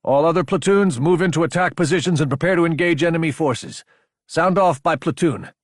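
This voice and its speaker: A man's voice: fairly high pitch, a little fast, no room sound, studio quality, pitched above the performer's own voice, natural voice. A man voicing a young male mech company commander with a clipped, commanding military manner, in an audiobook. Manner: clipped military radio orders.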